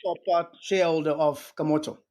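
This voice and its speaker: A man's voice: on the high side, steady tone, fairly quick, darkish tone. A South African man answering interview questions as a business co-founder, hesitant and filling gaps with "um" over a call line. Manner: hesitant, many fillers and restarts.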